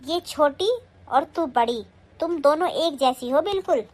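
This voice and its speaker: A woman's voice: high-pitched, moderate pace, natural voice. A woman acting a stern older mother-in-law who scolds and commands in a family skit. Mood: angry.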